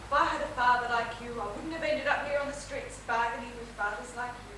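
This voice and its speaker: A woman playing a brisk, wry, businesslike streetwalker with a kind streak in a stage comedy, projecting to the house. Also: fairly high pitch, close to an everyday voice. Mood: amused, kindly. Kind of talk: live comedic stage play acting. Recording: echoey theatre stage, distant mic, noisy.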